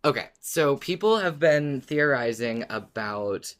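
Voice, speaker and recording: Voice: mid-range voice, lively intonation, measured pace. Podcast co-host, mid-range voice, informal and conversational fan talk. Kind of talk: casual fan discussion.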